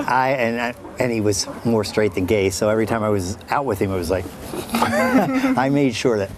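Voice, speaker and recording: A man tells frank, casual stories in an interview, his slightly low voice swinging widely in pitch. He speaks openly and swears freely. Clear background noise and room ambience sit behind him. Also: very animated, moderate pace. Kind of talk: casual anecdote telling in an interview.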